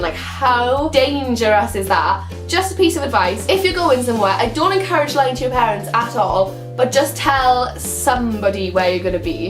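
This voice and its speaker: A woman's voice: a little high, reverberant. A young woman with a Welsh accent telling a story-time vlog in a chatty way, full of "like" and repeated words. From Wales. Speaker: vlogger.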